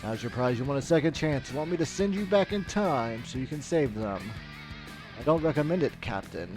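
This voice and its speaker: A man's voice: medium pitch, expressive, brisk, over music, close to an everyday voice. A man reading out melodramatic video game ending text as a storyteller. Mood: grave storytelling.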